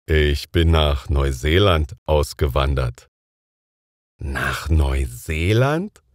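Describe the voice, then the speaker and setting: A man with a strikingly low, heavy voice reads a scripted everyday conversation for learners. Each word comes out slowly and with careful enunciation. The sound is close and completely dry, with no room around it. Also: darkish tone, no echo. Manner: slow, carefully enunciated, deliberate. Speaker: dialogue speaker in a language lesson.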